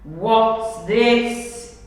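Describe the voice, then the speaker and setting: A woman voicing a gruff, greedy male pirate captain who booms and barks orders in a children's storybook. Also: very slow. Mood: accusing, booming. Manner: booming, barking orders. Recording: echoey hall, distant mic, background noise.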